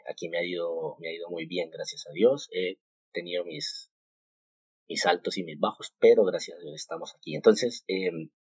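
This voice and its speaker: A man from Colombia in his thirties hosting a podcast, sharing a devotional thought in a halting way, with many fillers and restarts. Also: low-pitched, vocal fry, darkish tone, husky, no echo. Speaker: podcast host. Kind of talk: casual devotional talk. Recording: muffled, dull home recording.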